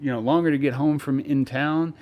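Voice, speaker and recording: A man's voice: fairly high pitch, steady tone, fairly quick, a little mellow. Crude, rambling young man on a podcast. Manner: crude, rambling storyteller full of fillers and swearing. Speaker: podcast co-host. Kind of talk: casual podcast banter.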